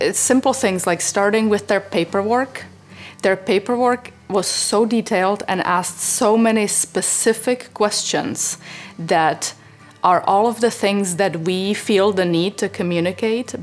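A woman in her forties from the Czech Republic, speaking earnestly and reflectively in an interview from a living room sofa. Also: mid-range voice. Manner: earnest and reflective, gesturing as she talks. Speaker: parent interviewee. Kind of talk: interview testimonial.

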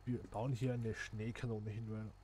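A man's voice: on the low side, little pitch movement, normal speed, warm tone, a little hiss or hum. A man, chatting casually to viewers and thinking aloud as he plays a simulation game. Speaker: gaming channel host. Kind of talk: casual gameplay commentary.

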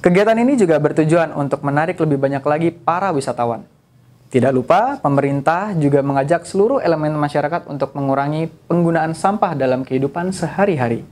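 A man's voice: on the high side, lively intonation, fast, a little mellow. A young man, a news presenter reading a formal studio intro. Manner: formal and fluent.

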